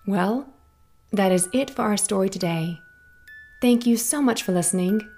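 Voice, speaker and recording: A woman hosting a children's Bible story podcast, narrating a scripted story in a gentle, clear, unhurried way. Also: medium pitch, faint music behind.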